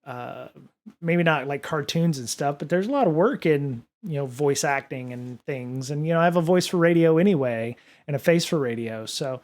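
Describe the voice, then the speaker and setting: A man's voice: a little high, moderate pace, darkish tone. A man hosting a casual livestream from his home studio, rambling with lots of fillers and restarts while answering viewer comments. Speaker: podcast and livestream host. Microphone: studio microphone at desk.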